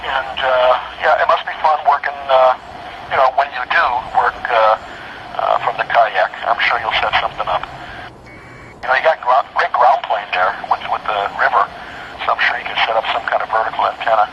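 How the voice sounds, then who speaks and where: A man's voice: medium pitch, fairly even intonation, moderate pace. An American man making casual, rambling conversation as an amateur radio operator. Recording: dull, noisy internet radio link.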